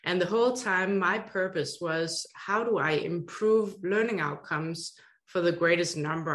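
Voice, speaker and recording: A woman's voice: fairly low pitch, fairly bright tone. A middle-aged woman from Denmark with a Danish accent, explaining her work earnestly as a podcast guest. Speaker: guest, education consultant. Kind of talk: podcast interview.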